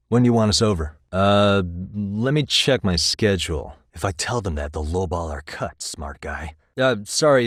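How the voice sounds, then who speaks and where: A man's voice: low-pitched, no echo, clean studio sound. An American man voicing a cool, confident, wry private detective in a video game, with a casual, self-assured delivery. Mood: relaxed, friendly. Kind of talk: video game dialogue acting.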